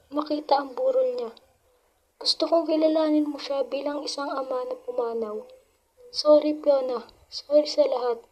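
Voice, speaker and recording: A woman's voice: sits high, muffled recording, close to an everyday voice. A woman with a Filipino accent playing a loving, remorseful mother reading out a confessional letter in a radio drama.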